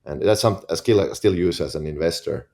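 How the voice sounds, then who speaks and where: A man in his forties with a Finnish accent, a podcast guest who talks in an easy, relaxed way and often repeats words while he gets going. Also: deep voice, brisk. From Finland. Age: 40s. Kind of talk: casual interview talk.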